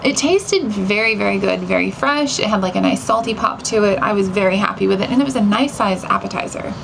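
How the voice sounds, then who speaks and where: A woman gives a casual, upbeat recap as a vlog co-host. Her voice has noticeable creak and fry. Clear background noise and ambience sit behind her. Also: mid-range voice, fairly quick. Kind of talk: casual chat recapping the day.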